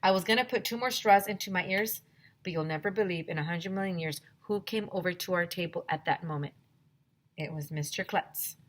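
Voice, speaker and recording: A woman teacher reading a children's book aloud in an expressive, theatrical storytime style. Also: fairly low pitch, brisk.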